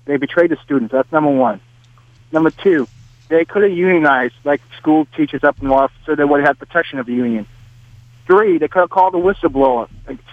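A man's voice: on the high side, no room sound. A man from Portugal, calling into a radio show and listing his points one by one. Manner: rapid, lists points one by one. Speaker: caller. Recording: tinny phone line.

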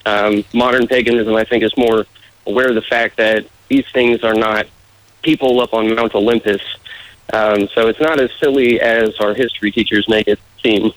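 A man's voice: on the low side, steady tone, normal speed, dry recording, poor audio. A man from the United States with a muddled American accent, talking casually and earnestly as a candidate being interviewed. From United States, raised in various regions.

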